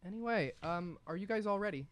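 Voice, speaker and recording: A woman's voice: medium pitch, lively intonation, quick pace, slight background noise. A young woman hosting a radio show in a casual, self-deprecating way. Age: young adult. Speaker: radio show host and writer. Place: small radio studio.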